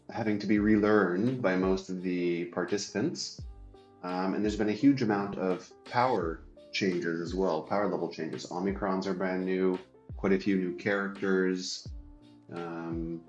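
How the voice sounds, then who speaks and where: A man's voice: low-pitched, reverberant. A man hosting a solo game-strategy show, talking casually and stuffy from a cold, with many ums and restarts. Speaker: show host and competitive player.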